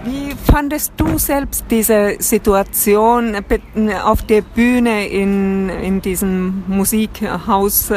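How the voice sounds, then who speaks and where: A woman interviewing a guest in a relaxed, chatty way, laughing often between questions. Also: medium pitch, takes it slowly, few pauses, slight background noise. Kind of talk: casual interview.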